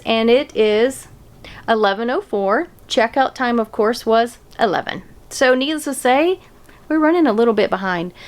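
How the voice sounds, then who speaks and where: A middle-aged woman chatting casually to camera as an RV travel vlogger, upbeat and quick to laugh. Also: a little high, smooth. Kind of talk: casual vlog chat to camera.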